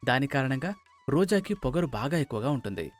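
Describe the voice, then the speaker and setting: Male narrator, medium pitch, scripted moral tale, faint music behind. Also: very animated, fast talker, dry recording.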